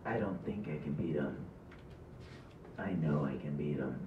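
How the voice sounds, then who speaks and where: A man's voice: a bass voice, moderate pace. A man reading the part of a cocky, boastful magician at a table read, smug and taunting. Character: cocky, petty, boastful stage magician brother. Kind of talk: amateur table read from script. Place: small indoor stage. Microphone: far camera. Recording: distant, echoey, tinny camera audio with noise.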